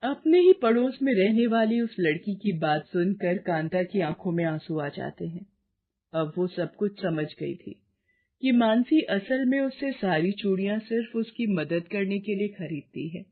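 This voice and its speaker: A woman narrates a scripted moral tale. Her pitch is average for a woman. The recording sounds narrow, as if over a phone. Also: lively intonation, unhurried.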